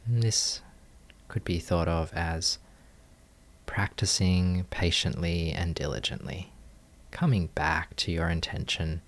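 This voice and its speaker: A man leading a guided meditation, giving gentle, calm instructions in measured phrases with long pauses. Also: sits low. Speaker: meditation teacher.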